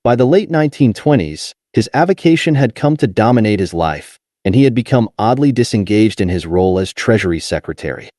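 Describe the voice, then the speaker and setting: A man narrating a nonfiction audiobook in a measured, clearly articulated reading. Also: lowish voice, sing-song, darkish tone, no room sound, studio quality.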